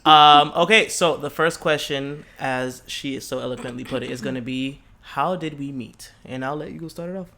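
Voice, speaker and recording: A young man co-hosting a relaxed podcast chat. He has a playful, teasing way of talking and laughs easily. His pitch is ordinary for a man. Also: moderate pace, hardly any pauses, a little hiss or hum. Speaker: podcast co-host. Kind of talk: casual chat.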